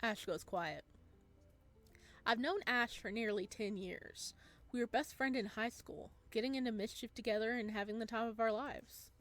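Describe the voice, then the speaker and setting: A woman reading a visual novel aloud as a let's-play host, with expressive narration and casual asides. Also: a little high, normal speed.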